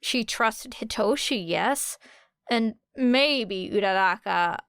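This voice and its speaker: Clear, smooth woman narrator, higher voice. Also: animated, takes it slowly, a bit bright, no echo, clean studio sound. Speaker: audiobook narrator. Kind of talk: scripted narration of fan fiction.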